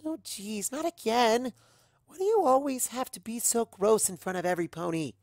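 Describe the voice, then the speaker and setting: A sulky, flippant young girl pony acted by a man, pitched higher than his own voice. The tone is whiny and offhand, in a dry storybook reading. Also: animated, moderate pace, gravelly, darkish tone, dry recording, exaggerated voice. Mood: disgusted.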